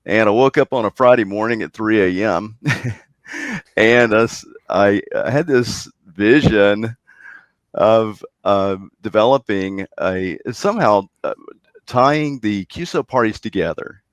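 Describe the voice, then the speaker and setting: Lower voiced guy, podcast guest explaining stuff casually, pretty dry recording. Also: takes it slowly, no echo. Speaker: interview guest, contest program director. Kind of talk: conversational explanation.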